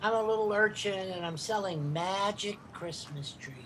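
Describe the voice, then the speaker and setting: A little street urchin acted by a man, pleading and wheedling to make a sale in a radio comedy. Clear noise sits behind the voice. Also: high voice, moderate pace, close to an everyday voice.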